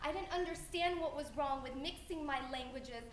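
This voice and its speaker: A young woman performing a spoken word poem live, earnest and measured, trading lines with a partner. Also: high-pitched, a little hiss or hum. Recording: echoey room heard from afar.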